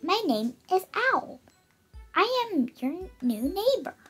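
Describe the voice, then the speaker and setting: A woman's voice: very high-pitched, big pitch swings, takes it slowly, faint music behind, pitched above the performer's own voice, exaggerated voice. A young girl of about eight voicing a fluffy male owl in a storybook, friendly, cheerful and sociable. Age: child, about eight.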